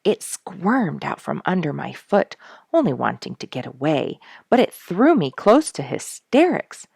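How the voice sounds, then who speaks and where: A woman narrating a storybook chapter aloud with expressive, dramatic emphasis. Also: deep voice, measured pace, vocal fry, husky, dry recording, clean studio sound.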